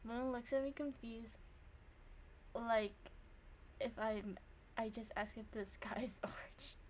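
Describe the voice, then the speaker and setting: A young woman with a slightly high voice streams a game. She moves between reading narration and casual, animated commentary. Her manner is self-deprecating, with easy swearing. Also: takes it slowly, slight background noise.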